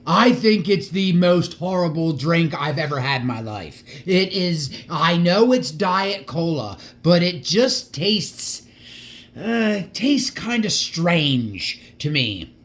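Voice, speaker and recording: A man's voice: a little high, sing-song, a bit bright. A man presenting his model car collection in a casual vlog, enthusiastic, with frequent restarts and a slight stutter. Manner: halting, repeats words and restarts often; stutters at times. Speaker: collector showing diecast models.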